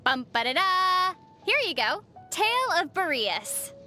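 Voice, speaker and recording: A woman playing a young female traveler in a video game, gently teasing and reassuring, with a playful, dry manner. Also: extremely high pitch, sing-song, takes it slowly, loud music behind the voice, heavily acted. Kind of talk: video game character dialogue.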